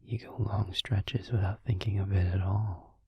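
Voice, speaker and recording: A hushed, very breathy vampire boyfriend, acted by a man, murmurs low and close in a dry space. He is gentle and tender, soothing his partner in an intimate roleplay audio. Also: steady tone, no echo, muffled recording. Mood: gentle, understanding. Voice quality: breathy, hushed, mostly whispered. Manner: soothing, tender, slow and reassuring. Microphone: close microphone.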